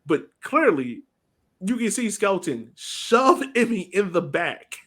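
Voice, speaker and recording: A man's voice: sits high, very animated, slow pace, a bit bright, slightly rough. A man co-hosting a soccer podcast in an informal, conversational way, chatting casually about the game. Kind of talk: casual sports chat.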